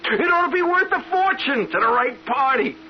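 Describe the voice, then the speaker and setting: Brash, swooping streetwise hustler. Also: male voice, very high voice, animated, moderate pace. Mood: astonished, excited. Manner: colloquial tough-guy wheedling, slangy. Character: scheming, brash bald barfly man, streetwise hustler. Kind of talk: old-time radio drama acting. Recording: dull, noisy radio drama recording.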